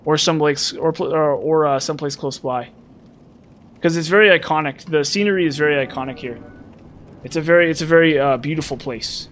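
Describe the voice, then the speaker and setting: A man's voice: fairly high pitch, fairly quick, a bit bright, slight background noise. A man in his thirties casually chatting and rambling to his viewers while streaming a game. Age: 30s. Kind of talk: casual live-stream chat while playing.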